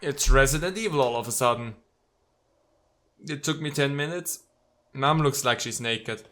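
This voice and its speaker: A man's voice: medium pitch, normal speed, bright, forceful tone. A man giving let's play commentary, reading game dialogue aloud and breaking in with nitpicking, exclamatory asides. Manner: nitpicking, exclamatory asides between read lines. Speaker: video game streamer.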